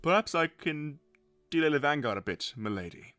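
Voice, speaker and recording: A loyal captain of the royal guard , acted by a man, with a forceful, bright voice that swings widely in pitch. He is kindly and deferential, full of avuncular concern, in a fantasy audio drama. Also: lively intonation, moderate pace, no echo, clean studio sound, faint music behind. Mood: gently conspiring.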